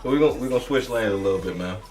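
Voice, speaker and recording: Deep-voiced interviewer, relaxed, faint music behind. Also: male voice, racing. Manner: easygoing, repeats "that's what's up". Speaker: interview host. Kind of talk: casual interview. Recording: roomy, distant mic, music behind.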